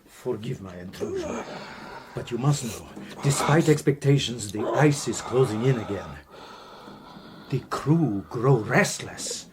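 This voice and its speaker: An actor playing a worried, deferential ship's captain, polite but urgent, in a gothic radio drama. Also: male voice, mid-range voice, very animated, unhurried. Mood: apologetic, worried. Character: anxious, deferential ship's captain pressing a warning. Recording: noisy ambience behind voice.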